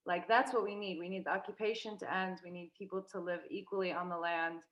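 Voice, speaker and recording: A woman's voice: lowish voice, fairly even intonation, fast talker, lo-fi sound. A young woman, a guest in a casual interview, talking hesitantly with many fillers and restarts. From United States.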